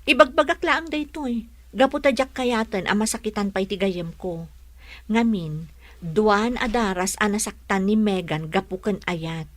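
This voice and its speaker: A woman with an Ilocano accent playing a warm, protective best friend in a radio drama. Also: medium pitch, measured pace, no room sound, natural voice. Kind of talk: Philippine radio drama acting.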